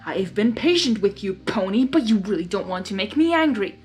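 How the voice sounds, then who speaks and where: A woman's voice: medium pitch, lively intonation, fairly quick, faint music behind, natural voice. A woman voicing a short-tempered, bossy male trickster monster with a theatrical, petulant delivery in a storybook reading. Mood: impatient.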